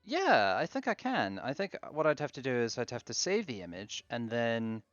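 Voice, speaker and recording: A man hosting a casual game stream, chatting in a relaxed way while fiddling with his setup. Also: mid-range voice, normal speed, no room sound, lo-fi sound, soft background music. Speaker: streamer host.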